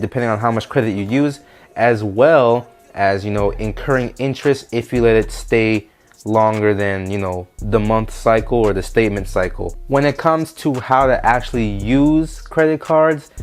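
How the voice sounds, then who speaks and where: A man with a stuffy, nasal voice from a head cold, giving casual money advice straight to his viewers in a vlog. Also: lowish voice. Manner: direct, talks straight to viewers. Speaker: finance YouTuber.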